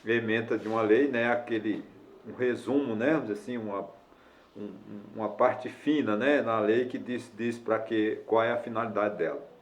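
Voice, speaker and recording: A man's voice: on the low side, moderate pace, echoey room. A man in his sixties, a lawyer giving a casual video lesson, talking in a rambling way with many fillers and self-corrections. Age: 60s. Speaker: lawyer explaining elderly law.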